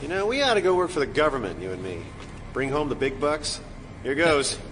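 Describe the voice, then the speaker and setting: An actor playing a wry, grumbling young military medic who banters informally, in an audio drama. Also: male voice, fairly high pitch, sing-song, fairly quick, a bit bright, in a large hall, a little hiss or hum. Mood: wry, griping.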